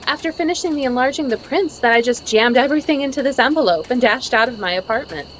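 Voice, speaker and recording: A woman's voice: high-pitched, expressive, quick pace, a little hiss or hum, rough recording. A woman playing a nervous, polite, naive photographer with a hesitant stammer, in old-time radio drama style. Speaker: amateur voice actor in live table read.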